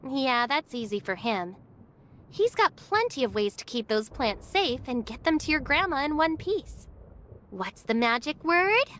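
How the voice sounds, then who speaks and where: Breezy, high-voiced teasing young guide. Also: female voice, very animated, measured pace, a bit bright, slight background noise, full character voice. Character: confident, teasing young female guide, casual and caring. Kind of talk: English game voice acting, anime style.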